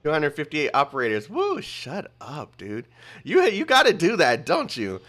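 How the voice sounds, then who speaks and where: A young male streamer reacts to a story game and rambles to his chat. His voice is excitable and animated, swinging up and down in pitch. Faint music runs behind him. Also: normal speed. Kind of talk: casual livestream commentary.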